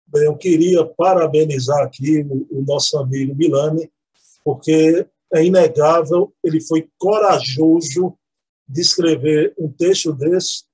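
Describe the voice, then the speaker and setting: A man with a Northeastern Brazilian accent co-hosts a casual talk, his voice set fairly high and a little husky. He heaps praise on what he discusses and keeps tossing in little tag questions. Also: unhurried, low-quality recording. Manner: warm effusive praise, frequent "né?" asides. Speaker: co-host. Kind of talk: casual chat.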